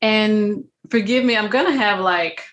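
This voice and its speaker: A woman's voice: medium pitch, unhurried, fairly bright tone, lo-fi sound. A woman hosting an interview, speaking reflectively and smiling as she talks. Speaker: host. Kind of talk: conversational interview.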